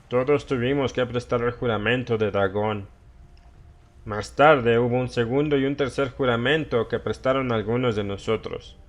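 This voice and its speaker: A man's voice: mid-range voice, lightly acted. Man acting a haunted, ranting old drunkard. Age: older. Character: haunted, ranting old drunkard recounting small-town horrors. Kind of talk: dramatised horror audiobook monologue.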